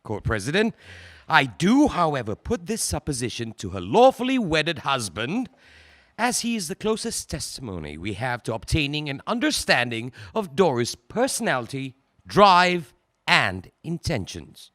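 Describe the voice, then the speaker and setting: A man acting a prosecutor in a 1940s court, questioning in a measured, formal way. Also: a little high, huge pitch swings, fairly bright tone, dry recording, studio quality. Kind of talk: radio drama acting.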